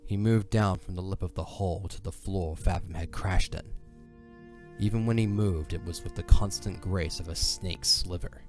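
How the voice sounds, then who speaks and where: Male narrator, low-pitched, dramatic scripted fantasy storytelling, solemn, measured, quiet music bed. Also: steady tone.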